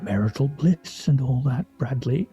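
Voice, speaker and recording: An actor playing a pompous, sardonic husband in a radio play, speaking in a wry, theatrical, mock-formal way. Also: male voice, mid-range voice, expressive, moderate pace, mellow, husky, soft background music. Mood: dry teasing. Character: pompous, sardonic husband at a weekly scrabble game.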